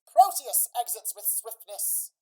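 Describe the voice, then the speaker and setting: A woman narrating bright, playful stage directions for an amateur radio drama. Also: fairly high pitch, very animated, unhurried.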